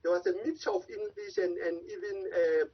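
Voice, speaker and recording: A man's voice: fairly even intonation, a little fast, husky. A man giving impassioned commentary as a guest advocate over a remote call, stressing key words with sudden rises. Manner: impassioned, rising emphatic pitch on key words. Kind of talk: live remote news interview. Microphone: remote video call link. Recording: dull lo-fi remote call audio.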